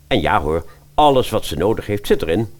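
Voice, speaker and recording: A man narrating a comic fairy-tale audio drama as an expressive storyteller. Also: lowish voice, a little fast.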